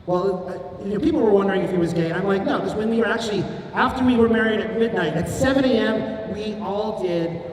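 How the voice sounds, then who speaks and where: High-voiced man roasting in a roomy hall. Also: fast, hardly any pauses, distant mic, a little hiss or hum. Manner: chatty, self-deprecating asides. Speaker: roast comedian. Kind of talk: stand-up roast set. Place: comedy venue on stage. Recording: roomy hall miked from afar.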